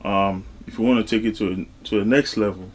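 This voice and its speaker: Low-voiced man, noisy background. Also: fairly even intonation, a little fast. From Cameroon. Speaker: interview guest, music artist and label executive. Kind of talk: casual interview talk.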